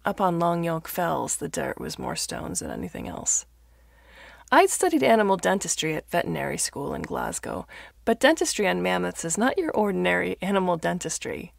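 Woman, audiobook-style narrator, lowish voice, heavy fry, scripted fiction reading. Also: sing-song, moderate pace, no room sound, clean studio sound.

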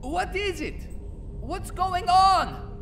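A man playing an anxious, injured medieval soldier in an audio drama, calling out in a strained, drawn-out way. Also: very high-pitched, sing-song, gravelly, a bit bright, a little hiss or hum, heavily acted. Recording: long echo of a stone chamber.

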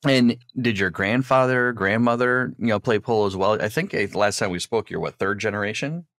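Deep-voiced chatty male podcast host. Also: brisk, a bit bright, no echo, clean studio sound. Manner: chatty, rambling, with repeated restarts. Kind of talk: casual interview.

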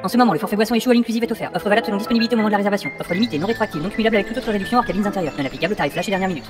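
Actress as radio advert small-print reader, extremely fast rattle, clear music bed. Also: female voice, mid-range voice, lightly acted. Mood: mechanical haste. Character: breathless rapid-fire female advert disclaimer reader.

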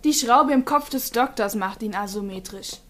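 Woman playing a high-voiced exasperated anime girl. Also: moderate pace, a bit bright, slight background noise, close to an everyday voice. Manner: exasperated, nagging. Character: exasperated, tired, sensible teenage girl, easily scared. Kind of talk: German fan-dubbed anime audio drama.

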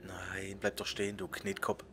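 A man's voice: low-pitched, completely flat delivery, normal speed, music in the background. A man casually commenting on his gameplay, joking and muttering asides, sometimes dropping to a whisper while he reads. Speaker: let's play host.

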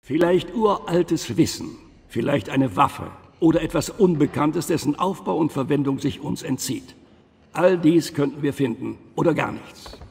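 Gravelly, grave secret-order leader. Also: male voice, fairly high pitch, a little mellow. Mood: intrigued. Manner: formal, deliberate, authoritative. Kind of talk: dubbed video game cutscene dialogue. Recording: long reverberant hall.

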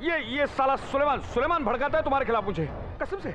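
High-pitched theatrical scheming politician acted by a young man. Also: expressive, quick pace, a bit bright. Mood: frightened, pleading. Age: young adult. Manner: theatrical, wheedling, repeats phrases. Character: scheming, corrupt politician who turns cowardly and pleading. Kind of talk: Bollywood gangster film spoof acting. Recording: muffled audio with background music.

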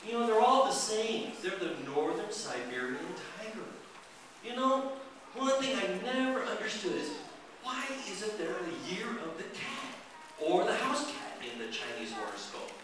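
A curious young puppet boy played by a man chatters with wide-eyed wonder in a children's puppet show. The voice is high and very animated. It is picked up from far off in a noisy hall. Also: measured pace, crowd noise. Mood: amazed, curious. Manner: exaggerated, wondering, gee-whiz delivery. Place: large indoor library hall, live stage. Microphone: distant camera microphone.

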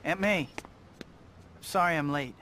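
A man's voice: fairly high pitch, slow, a little hiss or hum. A man playing an earnest, boyish young superhero with a wry, troubled air in a video game cutscene. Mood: concerned. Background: loud music.

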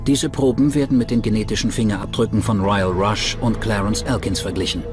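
A man's voice: lowish voice, fairly even intonation, brisk, lightly acted. A man reading scripted true-crime documentary narration as the narrator. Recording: music bed under the voice. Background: background music.

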